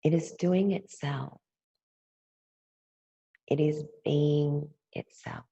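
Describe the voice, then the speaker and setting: A woman with a low, gravelly voice leads a guided meditation. She speaks softly and soothingly as a teacher, lingering between phrases. The recording is close and dry, though slightly dull. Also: no echo. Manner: gentle, unhurried, with long pauses between phrases. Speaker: yoga nidra meditation teacher.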